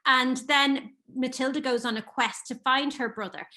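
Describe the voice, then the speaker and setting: A woman's voice: high voice, steady tone, normal speed, pushed and bright. A woman with a half English, half Irish accent, an author talking eagerly about her books in a remote interview. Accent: mixed English and Irish. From north of England. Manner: enthusiastic, storytelling. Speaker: children's author guest. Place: home in Ireland, remote call.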